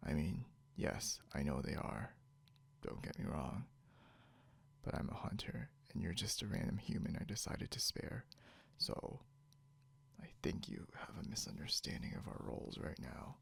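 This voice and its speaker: A man playing a grumpy, teasing, possessive young beast-eared hunter in an intimate ASMR roleplay, drawling drowsily and half whispering with a breathy, gravelly voice. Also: low-pitched, very animated, normal speed, full character voice. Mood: annoyed, flustered.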